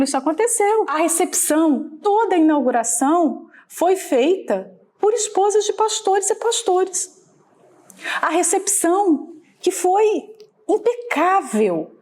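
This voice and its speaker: A woman's voice: extremely high pitch, a little mellow. A woman from Minas Gerais with a Brazilian mineiro accent, preaching in a conversational sermon style and often checking in with her listeners. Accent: Brazilian Portuguese, Minas Gerais. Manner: conversational, asks the listeners for agreement. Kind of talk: sermon teaching.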